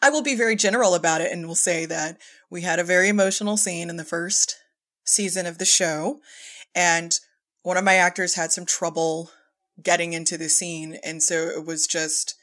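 A woman's voice: fairly low pitch, steady tone, normal speed, a bit bright. A woman speaking informally as a director telling work anecdotes in an interview, often quoting herself and chuckling. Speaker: podcast guest, audio drama director and filmmaker.

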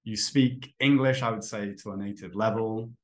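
A man in his thirties hosting a casual interview podcast for English learners, friendly and full of fillers, slowing down to explain words. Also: on the low side, steady tone. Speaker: podcast host and English teacher.

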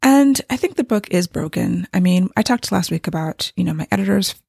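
A woman talking casually about her writing in a candid, rambling way, her voice strained and gravelly from overuse. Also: on the low side, expressive, a little fast, a little mellow, no echo, studio quality. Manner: candid and rambling, with light laughs. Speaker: novelist giving a writing update. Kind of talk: casual vlog monologue. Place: home with hardwood floors.

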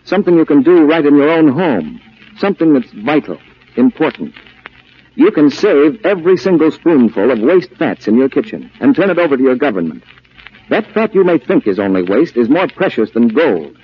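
Male 1940s radio announcer, deliberate and earnest scripted appeal, muffled old recording. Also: on the high side, sing-song, slight background noise.

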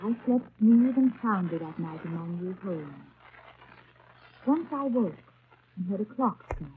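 Actress as composed young governess, tinny old radio. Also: female voice, mid-range voice, expressive, a little hiss or hum, lightly acted. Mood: hushed storytelling. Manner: formal, measured, literary diction. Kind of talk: 1930s radio drama acting and narration. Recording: tinny, band-limited 1930s radio broadcast.

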